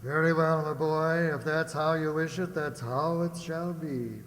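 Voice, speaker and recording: A man's voice: fairly high pitch, fairly even intonation, a little slow, fairly bright tone. A man playing a kindly, hearty sea captain in a children's radio play. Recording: echoey hall, faint noise.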